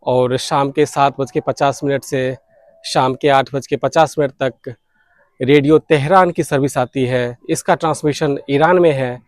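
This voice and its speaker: A man's voice: medium pitch, fairly even intonation, unhurried, no room sound, studio quality. A man narrating informally as a vlog host, explaining things step by step. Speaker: shortwave radio vlogger, host.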